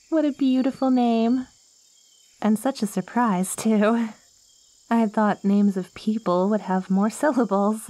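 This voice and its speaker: A woman's voice: medium pitch, darkish tone, dry recording. A woman playing an ancient, lonely plant girl in an ASMR roleplay, clingy and curious, with quirky, wordy, theatrical phrasing. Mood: excited, eager. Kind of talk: ASMR roleplay, acted monologue to the listener.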